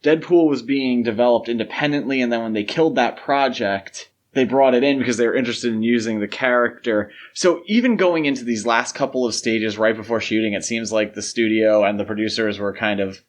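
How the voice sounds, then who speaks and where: A man's voice: medium pitch, fairly quick, hardly any pauses. A man hosting a podcast, discussing film in a casual but detailed, explanatory way. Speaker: podcast host.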